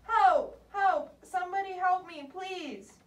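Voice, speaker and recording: Woman acting a sweet fairy-tale girl, childlike, earnest, raised pitch, distant roomy sound. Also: animated, unhurried. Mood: terrified. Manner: earnest, wide-eyed, childlike. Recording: distant, echoey room.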